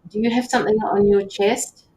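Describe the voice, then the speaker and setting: A woman doing live tarot and mediumship readings, speaking gently to viewers by name with frequent hesitations. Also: fairly even intonation, a little fast. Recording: slightly dull lo-fi sound with faint rumble.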